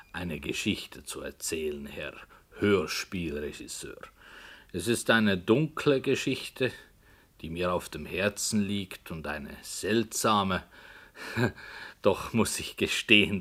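An actor playing a brooding, hesitant author who tells a dark story in a slow, hushed voice that sometimes drops to a whisper, in 1960s radio play style. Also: male voice, deep voice, breathy edge, exaggerated voice.

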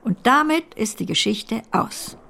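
An actress playing a blunt but loving older great-aunt in a German radio drama, dry and emphatic in a theatrical period style. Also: female voice, on the high side, animated, unhurried, creaky, bright, forceful tone, breathy edge, exaggerated voice. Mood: brisk, matter-of-fact. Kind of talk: German radio play acting of a period novel.

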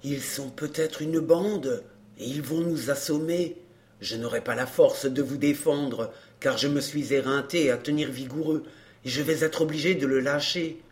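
A gruff fairground man from a storybook, acted out by a woman reader. The voice sits low, with a blustering, colloquial edge. He sounds resentful and uneasy, a jilted man full of threats. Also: steady tone, a little slow, a little mellow. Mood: fearful, urgent. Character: gruff, angry jilted fairground man, anxious yet vengeful.

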